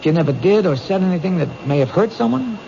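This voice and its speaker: Earnest male detective, gravelly, lively intonation, persuasive questioning, muffled old radio drama. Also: sits high, fast talker. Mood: probing, earnest. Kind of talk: radio mystery acting. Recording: dull old radio recording, noisy background.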